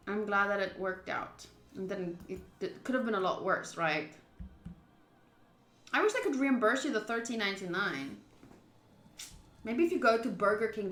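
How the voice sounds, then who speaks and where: A woman's voice: a little high, bright, forceful tone, reverberant. A woman streaming a visual novel, informal and blunt, switching between live commentary and reading the game text aloud.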